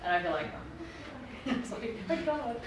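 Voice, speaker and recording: A woman's voice: on the high side, lively intonation, takes it slowly. A middle-aged woman giving a university lecture in a conversational, wry manner, circling back to her points. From United States. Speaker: professor. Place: lecture room. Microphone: room mic far from speaker. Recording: echoey room, distant mic, background noise.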